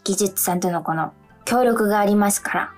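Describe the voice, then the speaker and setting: A woman's voice: medium pitch, moderate pace, soft background music. A woman co-hosting a radio show, chatting casually and effusively about her work. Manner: enthusiastic, effusive. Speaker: radio show co-host, stage actress.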